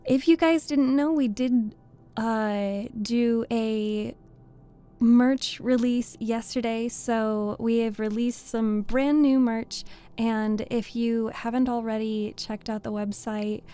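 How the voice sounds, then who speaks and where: Giggly woman streamer, mellow voice. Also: fairly high pitch, clean tone. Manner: chatty, giggly, thanks viewers by name. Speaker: streamer and VTuber reading host. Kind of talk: casual live-stream chat with viewers.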